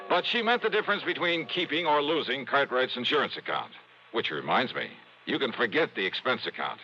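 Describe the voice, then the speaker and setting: A man's voice: mid-range voice, creaky, sharp tone, heavily acted. An actor playing a sardonic, confident American insurance investigator in a hardboiled golden age radio drama, probing as he talks. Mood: wry narration. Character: wry hardboiled male freelance insurance investigator. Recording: muffled, noisy old radio recording.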